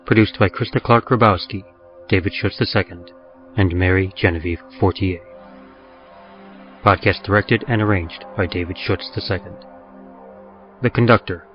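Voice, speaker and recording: Deep-voiced man reading podcast credits. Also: lo-fi sound. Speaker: podcast narrator and producer. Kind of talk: scripted radio drama narration.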